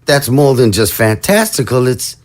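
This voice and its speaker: A man's voice: medium pitch, sing-song, normal speed, lightly acted. A man voicing a flustered undead male president who stammers and startles easily in an audio drama. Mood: astonished. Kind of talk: amateur audio drama acting.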